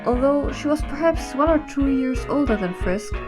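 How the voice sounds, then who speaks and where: A woman reads scripted narration for an audio drama. Her voice sits a little high. Faint guitar music plays beneath her. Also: expressive, moderate pace.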